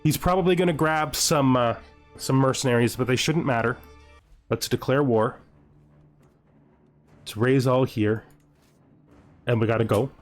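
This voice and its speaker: A man's voice: medium pitch, measured pace, pauses often, faint music behind. A man hosting a gaming video, thinking aloud in casual commentary and playfully gloating with in-character asides.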